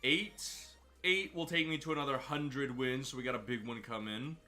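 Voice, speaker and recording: A man streaming a game, chatty and excitable, sounding a bit stuffy from a cold. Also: medium pitch, normal speed, soft background music. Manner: chatty, excitable over wins. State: stuffy, slightly sick. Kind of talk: gameplay commentary.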